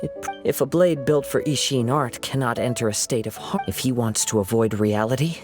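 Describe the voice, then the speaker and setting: A young wandering swordsman from a video game, voiced by a man. He speaks in a medium-pitched, composed voice with measured, formal phrasing. Music plays clearly behind him. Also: natural voice.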